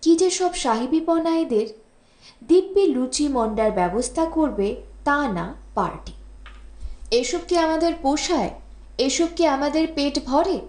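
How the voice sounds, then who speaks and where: A woman's voice: sits high, unhurried, a little mellow, voice pushed up. A woman voicing a friendly, busy young man who talks in a familiar, caring way, in an audiobook. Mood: sympathetic. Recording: roomy, echoing voice recording.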